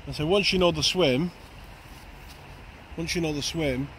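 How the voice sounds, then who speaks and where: A man, giving steady running commentary while fishing on a riverbank. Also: a little high, a little slow. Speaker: angling vlogger. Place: outdoors on a riverbank. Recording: faint outdoor noise.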